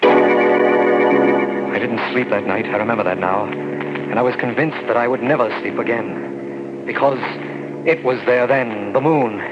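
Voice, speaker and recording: An actor playing a frightened radar officer who stammers and narrates in a haunted way, in 1940s radio acting style. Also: male voice, mid-range voice, lively intonation, unhurried, pauses often, close to an everyday voice. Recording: dull old radio recording with music.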